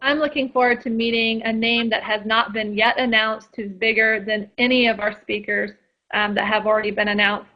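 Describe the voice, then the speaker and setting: A woman's voice: a little high, level tone, normal speed. A woman guest in a casual radio interview, chatty and full of fillers and restarts. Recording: dull lo-fi sound.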